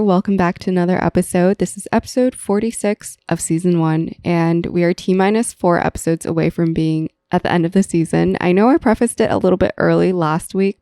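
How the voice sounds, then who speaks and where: Woman in her twenties, podcast host, gravelly low voice, dry close sound, chatty and rambling. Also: darkish tone, no echo. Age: 20s. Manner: chatty, rambling, laughs often. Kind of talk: casual solo podcast chat.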